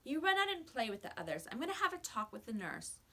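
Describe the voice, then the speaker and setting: A stern yet concerned female schoolteacher from a children's storybook, as a woman reader plays her. She is firm and measured, with a crisp, bright edge. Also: high voice, big pitch swings, voice pushed up, exaggerated voice. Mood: firm, kindly. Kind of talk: children's storybook read-aloud.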